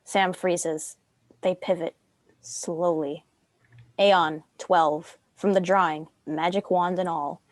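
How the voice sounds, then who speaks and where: A woman's voice: fairly low pitch, steady tone, takes it slowly, dry recording. A woman reading stage directions as scripted narration for a dramatic script reading.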